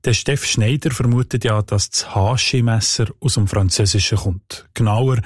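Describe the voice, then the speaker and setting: A man with a Swiss German accent explains word origins calmly and precisely, like a dialect expert on the radio. His voice sits a little low and has a husky edge. The sound is dry and close. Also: no room sound. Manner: calm, precise, informative.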